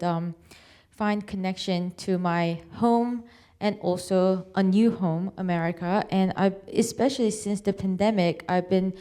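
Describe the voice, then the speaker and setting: A woman from Korea, a native Korean speaker, sharing her story on a panel in a relaxed, thoughtful way. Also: on the low side, smooth. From South Korea. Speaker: composer. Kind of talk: panel discussion.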